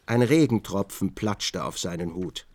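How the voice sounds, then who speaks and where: A man narrating a literary radio drama as an observant, measured storyteller. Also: sits low, lively intonation, a little mellow.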